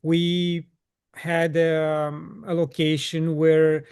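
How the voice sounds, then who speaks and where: A man hosting an interview, asking questions and chatting in an unhurried way. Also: fairly high pitch, level tone, darkish tone, clear, resonant tone. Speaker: host.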